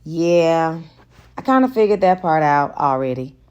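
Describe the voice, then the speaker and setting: A woman's voice: low-pitched, sing-song, measured pace, darkish tone, clear, resonant tone, highs cut off. Flirty romance heroine played by a female reader, coy and playful, taking her time. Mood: frank.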